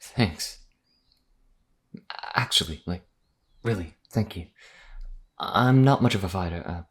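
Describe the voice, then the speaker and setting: A man's voice: animated, takes it slowly, heavily acted. A man playing a modest, earnest vampire wanderer in a fantasy audio roleplay, explaining things in a hesitant, conversational way. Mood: earnest, subdued. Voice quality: deep, husky.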